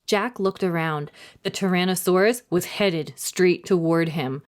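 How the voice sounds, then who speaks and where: A woman narrating a children's story in a dramatic, clearly phrased, expressive reading style. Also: on the low side, measured pace.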